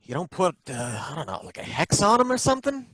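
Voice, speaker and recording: An actor playing a suspicious, bumbling license inspector who asks halting, probing questions in a live old-time radio drama. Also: male voice, sits high, wildly swooping pitch, a bit bright, heavily acted.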